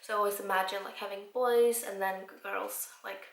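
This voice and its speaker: Young woman from Iceland, twenties, casual vlog chatter, slight rasp from a cold, mid pitch, roomy sound. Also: steady tone, a little fast. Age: 20s. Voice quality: slightly raspy. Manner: chatty, many fillers and "like". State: recovering from a cold. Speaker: vlogger. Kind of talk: casual chat to camera. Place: home room.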